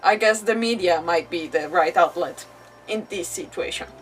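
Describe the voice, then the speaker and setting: A woman's voice: lowish voice, normal speed, recorded from a distance. A woman livestreaming a game, chatting casually and reacting aloud as she plays. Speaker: game streamer. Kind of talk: casual livestream gameplay commentary. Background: faint game music.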